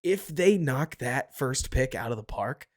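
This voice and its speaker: A man hosting a casual sports podcast, talking excitedly and building his case with rhetorical questions. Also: medium pitch, unhurried. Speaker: podcast host. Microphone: home podcast mic.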